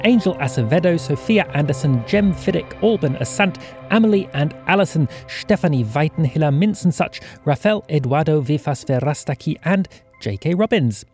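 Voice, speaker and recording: Guy reading podcast credits, animated, bit high pitched, music behind. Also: normal speed. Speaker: credits announcer.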